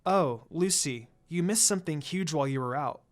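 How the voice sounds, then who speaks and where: A reader voicing an excitable teenage girl teammate who is chatty and confiding. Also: mid-range voice, moderate pace, lightly acted. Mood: eager, gossiping.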